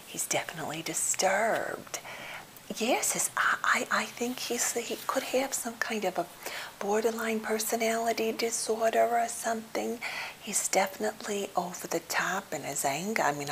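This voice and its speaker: Woman acting a slow, whispering, anxious therapist. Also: lowish voice. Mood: anxious, disapproving, then hesitant, polite. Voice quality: whispered, breathy. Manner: hesitant, halting, fussily polite. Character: anxious, well-meaning, slightly off woman therapist held captive. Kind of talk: ASMR role play. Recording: hissy, noisy home recording.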